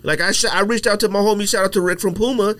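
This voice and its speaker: A man's voice: high voice, fairly even intonation, fast talker, a bit bright. A man co-hosting a podcast in casual chat, slangy and repeating himself to push a point. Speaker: podcast co-host.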